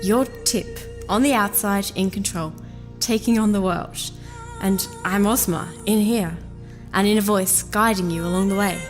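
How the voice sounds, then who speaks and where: A woman playing a calm, knowing princess, an inner voice speaking with measured patience in a fantasy audio drama. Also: mid-range voice, lightly acted. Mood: calm, explanatory. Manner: measured, patient, gently insistent. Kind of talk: full-cast fantasy audio drama acting. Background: music.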